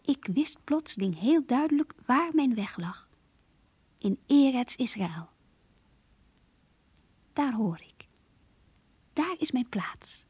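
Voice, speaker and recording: An actress playing an earnest, idealistic young woman who reads her diary in a radio play, with a breathy, intimate, measured delivery. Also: sits high, lively intonation, a little mellow, dry recording, exaggerated voice. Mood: moved, intimate.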